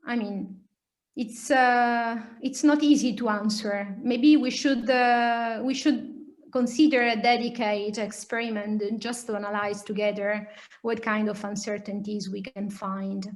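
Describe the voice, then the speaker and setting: Italian-accented woman lecturing, halting delivery. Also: a little high, fairly even intonation. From Italy. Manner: hesitant, halting explanations with many pauses. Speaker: lecturer, nuclear physicist. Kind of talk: academic lecture and question answering.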